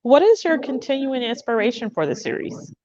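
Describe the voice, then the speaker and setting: A woman's voice: high voice, very animated, brisk, dull audio, crowd noise. A woman hosting an online reading event, interviewing a guest and speaking with effusive praise. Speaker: host.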